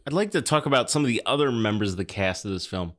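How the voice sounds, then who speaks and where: A man's voice: mid-range voice, lively intonation, fast talker. A man hosting a podcast, chatting casually about films in a wry, joking, conversational way. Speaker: podcast host. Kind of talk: casual film discussion and show announcements.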